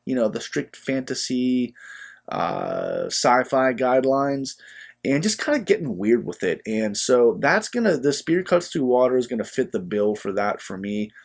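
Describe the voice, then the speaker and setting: A young man hosting a book channel, talking casually to camera while sick and a bit sniffly, chatty and easygoing with frequent filler pauses. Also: mid-range voice, very animated, creaky. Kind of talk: casual vlog talk to camera.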